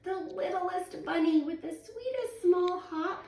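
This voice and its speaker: A woman, a teacher in her thirties, reading a children's picture book aloud at home in a sing-song, expressive way. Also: extremely high pitch, slow, a little hiss or hum. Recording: echoey room, voice a little far from the mic.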